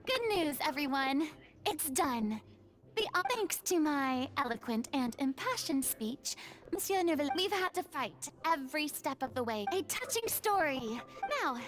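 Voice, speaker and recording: An actress playing a proud retired young stage star in a game, speaking in an eloquent, theatrical and formal way. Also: female voice, high voice, animated, a little slow. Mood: proud, upbeat.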